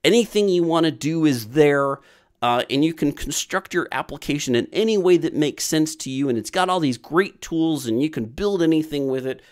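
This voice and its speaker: A man's voice: a little high, animated, moderate pace. Rambling man hosting a livestream. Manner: rambling, repeats himself with many fillers. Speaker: programming livestream host. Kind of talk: casual livestream Q&A.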